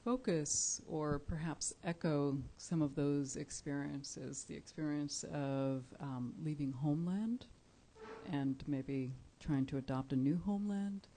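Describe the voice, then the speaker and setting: Slow, mellow woman poet. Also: sits low, a little hiss or hum. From Cuba. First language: Spanish. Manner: measured, deliberate, pausing often with soft fillers. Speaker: featured poet. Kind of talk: live poetry reading. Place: indoor live reading event.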